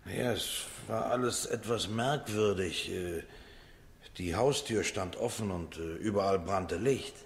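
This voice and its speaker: A man's voice: lowish voice, measured pace, gravelly. An actor playing an evasive, naive, disreputable accused man in a radio drama, talking hesitantly and colloquially. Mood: sheepish, defensive. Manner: hesitant, colloquial, tag questions.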